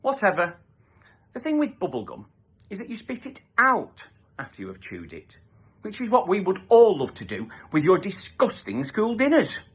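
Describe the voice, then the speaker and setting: A man's voice: sits high, wildly swooping pitch, normal speed, a bit bright, slightly rough, pitched above the performer's own voice, heavily acted. A man voicing a cheeky, defiant young schoolgirl in a storybook, pert and argumentative. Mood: cheeky, insolent. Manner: pert, argumentative, mock-polite. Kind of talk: storybook character voice.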